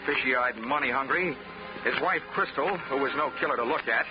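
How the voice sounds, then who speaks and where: A man's voice: medium pitch, a little fast, close to an everyday voice. An actor playing a wry, wisecracking hard-boiled male investigator in a 1940s radio drama. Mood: wry narrating. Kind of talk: 1940s radio detective narration and acting. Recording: dull old radio recording with loud music.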